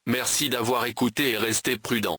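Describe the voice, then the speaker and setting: A man reading an official emergency broadcast announcement in a flat, formal, measured way. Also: mid-range voice, no echo, studio quality.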